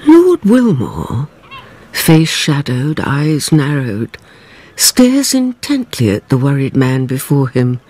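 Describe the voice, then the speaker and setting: Woman narrator, breathy, very slow, highly animated pitch, radio drama. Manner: slow and expressive.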